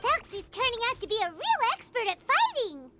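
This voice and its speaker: A woman voicing a tiny, excitable fairy in a video game, theatrical and given to playing the pompous goddess. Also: very high voice, fairly quick, a little hiss or hum, heavily acted. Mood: excited. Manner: excitable, theatrical, refers to self in third person.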